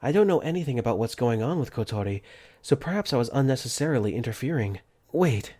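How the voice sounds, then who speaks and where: A young man voicing an earnest, caring dorm caretaker for a fan dub, gently narrating his own thoughts in first person. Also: mid-range voice, expressive, fast, close to an everyday voice. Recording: faint music under the voice.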